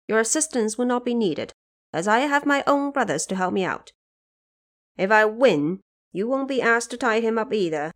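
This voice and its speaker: A woman voicing a proud, commanding young divine warrior lord in a mythological audio drama, speaking in a formal, declamatory, self-assured way. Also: fairly high pitch.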